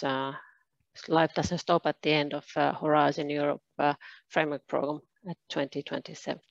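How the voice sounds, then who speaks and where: Woman, low-ish voice, conference keynote, halting with fillers, dull dry video-call sound. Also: dry recording. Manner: halting, many fillers and restarts. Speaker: keynote speaker. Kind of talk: conference presentation.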